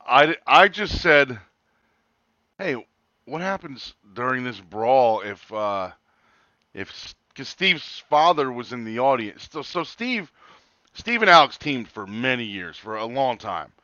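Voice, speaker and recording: Bright-voiced man in his forties, dull recording. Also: mid-range voice, big pitch swings, takes it slowly, no echo. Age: 40s. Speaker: co-host of a wrestling talk show. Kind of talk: casual chat, anecdotes.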